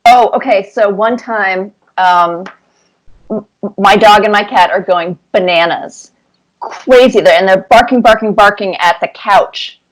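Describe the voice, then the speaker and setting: A woman cartoonist answering interview questions with casual anecdotes, telling them in an animated way and repeating words for emphasis. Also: mid-range voice, unhurried, poor audio.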